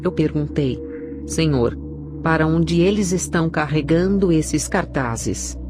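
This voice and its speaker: A woman giving a scripted narration of a religious testimony in a solemn, measured way. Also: low voice, background noise. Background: background music.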